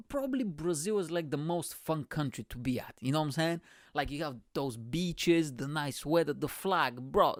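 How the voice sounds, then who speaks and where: A young man from Bulgaria, a podcast host telling stories in a rambling, profane, animated way. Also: a little high, no room sound, studio quality. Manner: profane, rambling, animated, constant "you know what I'm saying". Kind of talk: casual storytelling monologue.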